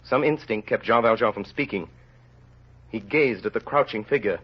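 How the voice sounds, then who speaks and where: A man narrating a story in old-time radio style, with solemn, theatrical, deliberate phrasing. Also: lowish voice, breathy edge. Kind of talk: 1930s radio dramatic storytelling. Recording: dull lo-fi old radio recording.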